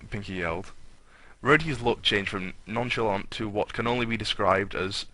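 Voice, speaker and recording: A man's voice: on the low side, moderate pace, bright, forceful tone. A man hosting and narrating a comic story in a dramatic reading style. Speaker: narrator and host of a comic fan fiction reading.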